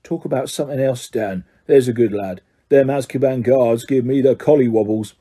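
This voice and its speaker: Man doing a slow grumbly old bus driver, low and gloomy, mellow tone. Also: expressive, slightly rough. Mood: grim. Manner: slow, grave, few words.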